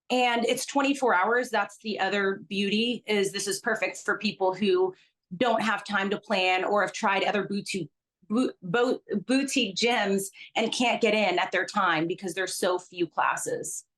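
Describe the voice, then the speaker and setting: A woman born in Belgium talks through her business on a video call. Her voice sits mid-range for a woman, and she explains things in an earnest, steady way. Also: medium pitch, measured pace, poor audio. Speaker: business owner guest. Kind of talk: casual virtual interview.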